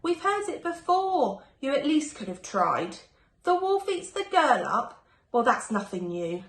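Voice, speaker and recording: Woman as an exasperated, high-pitched storybook librarian. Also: big pitch swings, a little slow, fairly bright tone, roomy sound, exaggerated voice. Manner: blubbering, scolding.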